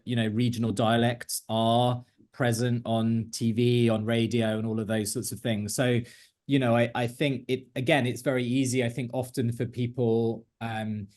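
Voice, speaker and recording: A man speaking as a webinar panellist, in a measured and thoughtful way, over a video call. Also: medium pitch, darkish tone. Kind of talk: panel discussion.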